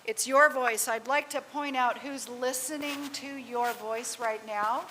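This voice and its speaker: Excitable higher-voiced woman moderator. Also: unhurried. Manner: loud, excitable, enthusiastic. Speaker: session moderator. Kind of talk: live event moderating. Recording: echoey hall, faint noise.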